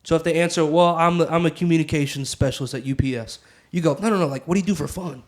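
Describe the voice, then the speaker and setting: A man's voice: on the high side, fast, a little mellow. A man chatting casually as a podcast guest, handing out practical advice in a playful, wry manner.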